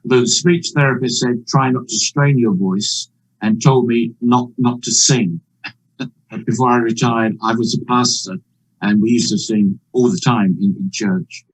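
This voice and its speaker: A man in his seventies with a husky voice, a retired pastor speaking haltingly in a news interview. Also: medium pitch, steady tone, poor audio. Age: 70s. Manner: halting, restarts words.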